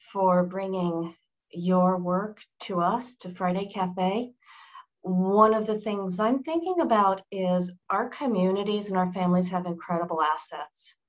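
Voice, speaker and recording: A woman hosting a webinar and giving measured, thankful closing remarks. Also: mid-range voice, poor audio. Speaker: webinar host.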